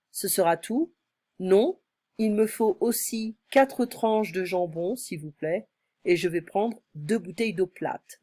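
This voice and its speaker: A woman tutoring one-on-one, her voice slightly hoarse from illness, explaining patiently and repeating phrases. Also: on the low side, a little mellow, no echo. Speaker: French tutor. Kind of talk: one-on-one online language lesson.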